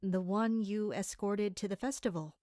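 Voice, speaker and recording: Royal attendant, female actor: polite, articulate, observant, medium pitch, audio drama, dry recording. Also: normal speed, dark tone, no room sound, close to an everyday voice. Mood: measured, guarded. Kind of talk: audio drama acting with inner-monologue narration.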